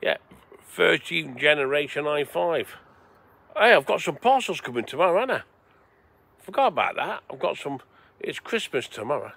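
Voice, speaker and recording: A man from England chats to his viewers in a loose, rambling, sweary way. His voice is gravelly with a bright, forceful edge. He streams outdoors at night by the seaside. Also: fairly high pitch, big pitch swings, normal speed. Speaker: vlogger. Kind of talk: casual rambling livestream chat.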